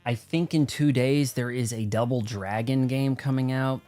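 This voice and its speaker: A man's voice: mid-range voice, normal speed, warm tone, faint music behind. An American man hosting a video game livestream, talking casually in a rambling, conversational way. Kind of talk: casual gaming stream chat and commentary.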